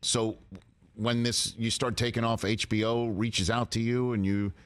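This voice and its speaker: A man hosting a talk show in a radio studio, asking questions in a brisk, encouraging way. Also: medium pitch, little pitch movement, clean tone. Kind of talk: interview hosting.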